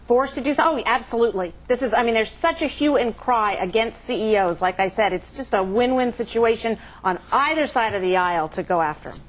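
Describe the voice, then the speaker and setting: A woman on a TV panel giving lively, indignant opinions. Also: on the high side, a little fast, few pauses. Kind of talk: TV panel commentary.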